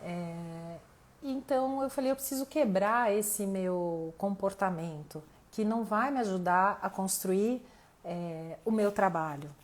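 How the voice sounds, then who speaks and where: A woman's voice: medium pitch, unhurried. Brazilian woman teaching a motivational live class. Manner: conversational, addresses the audience directly, thinks aloud. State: voice tiring, losing it slightly. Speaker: mentor hosting an online live class. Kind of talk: informal motivational teaching to camera.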